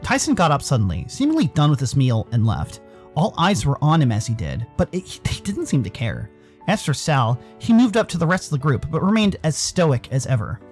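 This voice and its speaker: A man's voice: a little high, huge pitch swings, a little fast, darkish tone, soft background music. An American man giving playful scripted narration of a story in a theatrical, tongue-in-cheek manner. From United States. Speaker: narrator and streamer.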